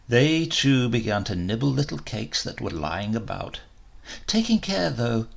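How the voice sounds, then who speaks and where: A man reading a literary crime tale aloud as a dramatic, expressive narrator. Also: mid-range voice, gravelly. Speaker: story reader.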